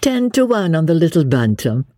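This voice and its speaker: A playful English gentlewoman of a storybook, as a narrator puts her on. She is merry and teasing, with laughter close to the surface. The pitch moves around freely over a slightly husky tone. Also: lively intonation, slow, dry recording, heavily acted.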